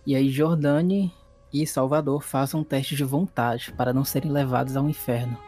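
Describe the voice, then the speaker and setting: A man's voice: medium pitch, fairly even intonation, moderate pace, dark tone, faint music behind. A man with a Brazilian accent running a tabletop horror game, moving between dramatic narration and casual refereeing. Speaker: game master.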